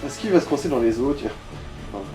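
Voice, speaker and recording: A man's voice: mid-range voice, expressive, fast, over music, rough recording. A man chatting casually as he gives directions to a player during a let's play.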